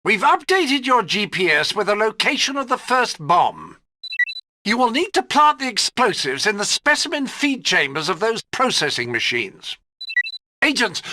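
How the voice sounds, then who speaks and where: Fussy, high-voiced gadget master. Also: male voice, background noise. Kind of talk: video game mission instructions.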